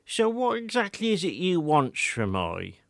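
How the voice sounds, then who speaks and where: A man's voice: sits high, wildly swooping pitch, a little slow, creaky, fairly bright tone, no room sound, studio quality, pitched above the performer's own voice, heavily acted. A man voicing a ninety-year-old country gardener in an audio drama, with a Wiltshire accent and rustic dialect grammar. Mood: rustic, unhurried.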